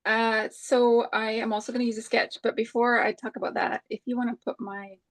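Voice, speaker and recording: A woman in her forties chats on a live scrapbooking stream. Her voice sits fairly high. She speaks thoughtfully, pausing often with ums as she works out her ideas. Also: level tone. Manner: thoughtful, hesitant with many ums. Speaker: co-host of a live scrapbooking crop. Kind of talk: casual chat while crafting.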